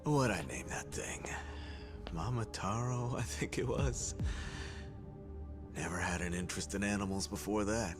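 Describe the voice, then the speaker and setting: An actor playing a scheming middle-aged yakuza boss, with a sly, mocking way of telling a story in a game cutscene. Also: male voice, lowish voice, a little slow, close to an everyday voice. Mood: reminiscing. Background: game music.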